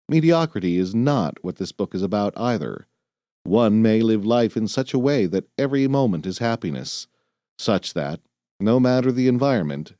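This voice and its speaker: A man reading a nonfiction audiobook in a measured, earnest, clearly phrased way. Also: on the low side, warm tone, no echo, studio quality. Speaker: audiobook narrator. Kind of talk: scripted nonfiction audiobook narration.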